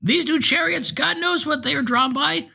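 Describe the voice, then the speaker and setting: A man's voice: very high-pitched, moderate pace, creaky, bright, forceful tone, pitched above the performer's own voice, full character voice. A man reading a story aloud, voicing a frail, cranky eighty-year-old man with a thin, piping voice. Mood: grumbling.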